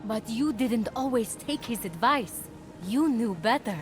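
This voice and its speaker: High, plucky young hero. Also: female voice, lively intonation, unhurried. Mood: earnest, persuasive. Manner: earnest, urgent, direct. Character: stubborn young female mortal hero, earnest and plucky. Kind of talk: video game cutscene acting. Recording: game music behind the voice.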